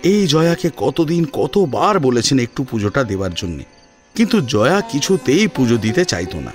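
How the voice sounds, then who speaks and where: A man narrating a scripted audio drama in an expressive storytelling style. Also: mid-range voice, normal speed, faint music behind.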